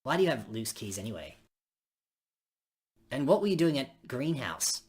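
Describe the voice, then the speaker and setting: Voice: mid-range voice, sing-song, normal speed, husky. A woman voicing a chatty, blokey young friend in a visual novel, teasing and full of Australian slang. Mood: guarded.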